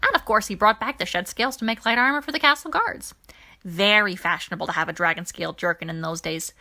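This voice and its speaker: A woman voicing a jaunty, boastful older alien gentleman in an audiobook, telling nostalgic stories with theatrical flourish. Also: mid-range voice, quick pace. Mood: fond, boastful.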